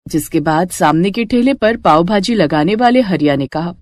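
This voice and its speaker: A woman's voice: on the low side, animated, moderate pace, darkish tone, no room sound, clean studio sound. A woman reading scripted story narration as a storyteller.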